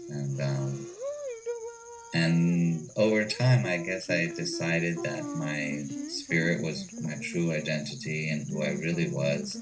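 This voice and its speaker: A man with an American accent giving reflective, measured answers as an interview guest. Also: a bass voice. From United States. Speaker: interview guest, ayahuasca retreat owner. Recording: distant mic with clear music behind.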